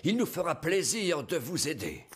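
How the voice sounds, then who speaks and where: An actor playing a smooth-talking, scheming gentleman explorer in a cartoon, formal and persuasive. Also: a little high, sing-song, normal speed. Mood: formal, courteous. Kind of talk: cartoon dub acting.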